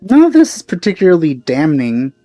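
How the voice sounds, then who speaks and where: A man's voice: a little high, huge pitch swings, fairly quick, mellow, soft background music. A man giving casual let's play commentary on a game, musing and speculating aloud as he goes. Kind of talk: casual blind playthrough commentary.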